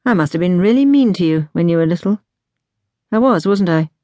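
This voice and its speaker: A woman voicing a softened, sincere eldest sister in an audiobook, gentle and apologetic in manner. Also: lowish voice, expressive, brisk, no echo, lightly acted. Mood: contrite. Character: softened, remorseful eldest sister, kinder after grief. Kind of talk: audiobook character dialogue.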